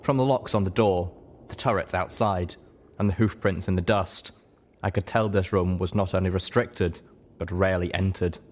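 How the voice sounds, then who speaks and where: A man's voice: low voice, steady tone, moderate pace, darkish tone. A man reading scripted first-person story narration as an audiobook-style narrator. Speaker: narrator of a fan fiction reading. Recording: faint background noise.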